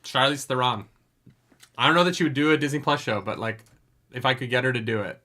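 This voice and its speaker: A man's voice: mid-range voice, pushed and bright. A man co-hosting a book club podcast, joking casually and swearing. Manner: quick, joking, swears a lot. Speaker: podcast co-host.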